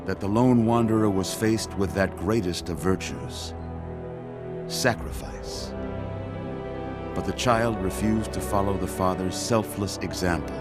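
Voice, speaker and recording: An actor playing a solemn game narrator, grave and deliberate, telling an epilogue in a portentous cinematic style. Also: male voice, sits low. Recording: voice over loud score.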